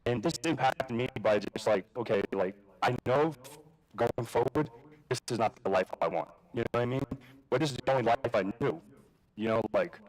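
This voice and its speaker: A man's voice: mid-range voice, normal speed, darkish tone, rough recording. Casual New man telling his story. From Jamaica, Queens, New York. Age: adult with a daughter. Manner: casual, rambling, many fillers and restarts, swears. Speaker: conference speaker. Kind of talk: personal story talk at a live event.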